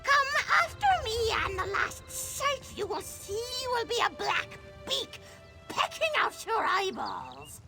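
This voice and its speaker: A woman playing a gloating, vicious old hagraven witch in a video game, taunting with theatrical menace and a creaky, cackling voice. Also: very high voice, sing-song, over music, heavily acted. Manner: taunting, theatrical, drawn-out threats. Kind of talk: video game villain acting.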